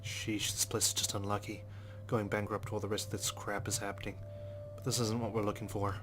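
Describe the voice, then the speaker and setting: A man's voice: fairly low pitch, little pitch movement, fairly quick, over music. A man reading a visual novel aloud as a let's player, mixing scripted narration with casual commentary.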